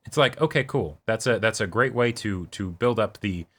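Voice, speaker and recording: A man's voice: on the low side, normal speed, a bit bright. A man chatting casually as a show co-host, enthusiastic and rambling, often checking for agreement as he goes. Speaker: co-host of an anime reaction show. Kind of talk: casual conversational discussion.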